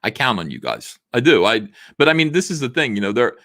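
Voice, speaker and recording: A man in his forties hosting a casual livestream, reading viewer messages aloud, rambling with lots of fillers while his voice is going rough. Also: medium pitch, big pitch swings, quick pace, fairly bright tone. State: losing his voice. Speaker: livestream host. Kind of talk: casual livestream chat reading super chats.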